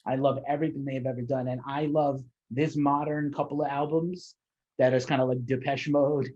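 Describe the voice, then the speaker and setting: Man, interviewer, husky, mid pitch, rambling fan enthusiasm, video call, dull lo-fi audio. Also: flat delivery, brisk, a little mellow. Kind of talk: casual interview chat.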